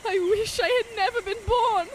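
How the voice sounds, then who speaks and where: A woman's voice: extremely high pitch, steady tone, moderate pace, a little hiss or hum. A young woman playing an earnest, devoted governess in a gothic romance audio drama, with polite, restrained period speech. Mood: anguished. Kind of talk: audio drama acting with first-person narration.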